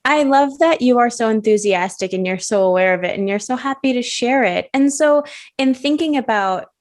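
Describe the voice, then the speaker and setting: A woman's voice: fairly high pitch, expressive, moderate pace. A woman hosting a casual conversational interview, speaking in an affirming, encouraging way. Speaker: podcast host, interviewer.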